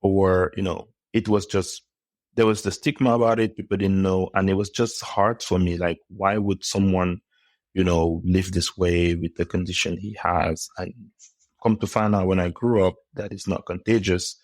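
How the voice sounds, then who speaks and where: A middle-aged man from Cameroon, a podcast guest speaking in a thoughtful, measured way. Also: sits low, steady tone, a little mellow, no echo. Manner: thoughtful, measured, with pauses and restarts. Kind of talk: conversational interview.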